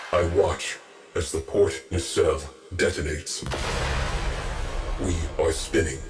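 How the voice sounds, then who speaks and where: A grim, guilt-ridden warship computer for a video game. The voice is very deep and delivers terse, solemn military phrases at a slow, measured pace. Faint music sits behind it. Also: male voice. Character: grim, guilt-ridden male military ship artificial intelligence. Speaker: command AI of a combat spacecraft. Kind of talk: scripted video game voice lines.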